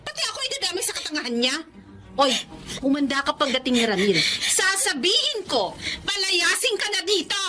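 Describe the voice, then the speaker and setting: A woman playing a jealous, haughty, sharp-tongued girlfriend with a Filipino accent in a melodramatic radio drama. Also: very high voice, sing-song, fairly quick, faint music behind, full character voice. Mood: furious, scolding. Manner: scornful, scolding. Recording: faint background noise.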